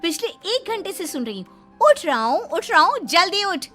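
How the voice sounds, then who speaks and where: Woman acting a high, chattering bossy teenage girl. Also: very animated, moderate pace, a bit bright, faint music behind, full character voice. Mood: scolding, annoyed. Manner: talkative, scolding, fussy. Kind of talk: acted moral story dialogue.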